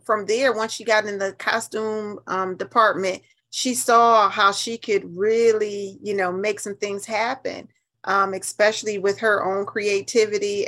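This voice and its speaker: A woman's voice: medium pitch, a bit bright. A woman in her fifties with an American accent, telling stories casually and emphatically as an interview guest. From New Haven, United States. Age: 50s.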